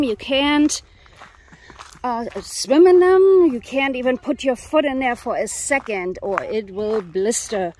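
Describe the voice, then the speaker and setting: A woman's voice: sits high, a little mellow, low-quality recording. A woman chatting casually as she narrates a family hike outdoors into a handheld camera, in an easygoing way. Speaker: family travel vlogger and mother. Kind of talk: casual narration while hiking. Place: outdoors on a wooded trail. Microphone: handheld camera, held by the speaker.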